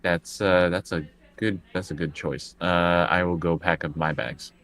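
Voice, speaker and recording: Deep, bright-voiced male gamer. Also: steady tone, measured pace. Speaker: tabletop game player. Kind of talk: casual game chat.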